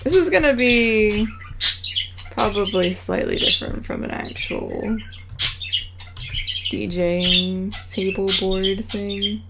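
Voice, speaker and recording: Slow-talking Southern woman streamer, noisy audio. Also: medium pitch, creaky. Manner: relaxed, chatty, laughs often, talks to chat by name. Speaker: artist live streaming, reading chat. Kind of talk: casual chat while drawing.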